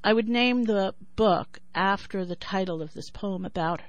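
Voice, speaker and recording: A woman in her sixties with a New York accent who talks thoughtfully with many pauses and then reads her poem in a measured rhythm. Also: mid-range voice, dry recording, lo-fi sound. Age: 60s. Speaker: poet and writer. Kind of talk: interview and poetry reading.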